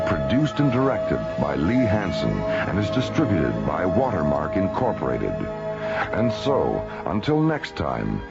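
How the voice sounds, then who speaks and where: A deep male announcer narrates radio drama with grave, measured weight. His delivery swings expressively, set under loud music on a muffled recording.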